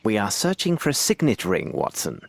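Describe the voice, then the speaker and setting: An actor playing a shrewd English gentleman detective in a video game, with a British accent and precise, courteous, commanding speech. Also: lowish voice, wildly swooping pitch, brisk, creaky, full character voice. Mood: polite, insistent. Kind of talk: video game cutscene acting.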